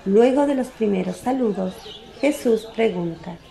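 A woman narrating a religious radio drama from a script, with a solemn, deliberate literary delivery. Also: mid-range voice, lively intonation, creaky, dark tone, reverberant.

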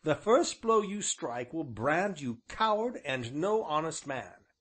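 A man playing a calm, stubborn, well-spoken young gentleman with a formal, firm manner. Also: on the high side, takes it slowly, husky, full character voice. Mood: defiant.

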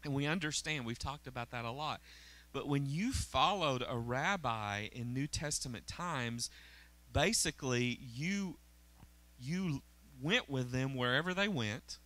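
Bright-voiced pastor preaching. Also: male voice, medium pitch, animated, a little slow. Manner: conversational preaching, checks in with the crowd. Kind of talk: sermon. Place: church auditorium stage, indoors. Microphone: handheld mic.

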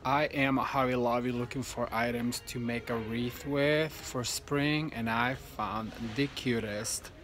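A man's voice: mid-range voice, fairly even intonation, unhurried, few pauses, background noise. A man in his thirties filming a casual shopping vlog on a phone held at arm's length, gushing over decor in big stores and outside in a parking lot. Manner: chatty, gushing, enthusiastic. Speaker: home decor vlogger.

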